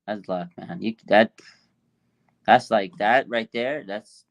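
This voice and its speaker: Gravelly, casual man co-hosting sports talk. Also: lowish voice, slow. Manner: agreeable, informal, repeats himself for emphasis. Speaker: co-host of a sports fan show. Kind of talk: casual sports fan banter.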